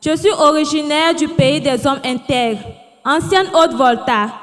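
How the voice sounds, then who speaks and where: Young woman, high voice, proud formal welcome, echoey hall, soft music. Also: a little slow. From Burkina Faso. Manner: proud, declamatory. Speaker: student. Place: hotel ceremony hall.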